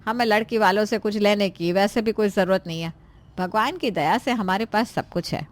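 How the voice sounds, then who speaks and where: A woman's voice: medium pitch, normal speed, natural voice. A woman playing a worried, resigned mother of grown children in a cartoon moral story, fretful and conciliatory. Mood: soothing, resigned.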